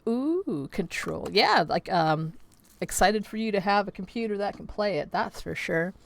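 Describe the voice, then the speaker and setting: A woman's voice: medium pitch, expressive, measured pace, creaky. A young woman streaming from home, chatting casually with viewers in a rambling way, her voice a little scratchy today. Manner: rambling, filler-heavy, chatty. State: slightly scratchy voice. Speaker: livestreamer. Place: home streaming setup with green screen.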